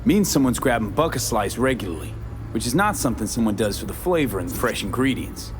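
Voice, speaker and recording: An actor playing a gruff, sardonic cyberpunk mercenary investigator in a video game. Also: male voice, medium pitch, big pitch swings, quick pace, over music, natural voice. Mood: wry, analytical. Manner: terse, sardonic.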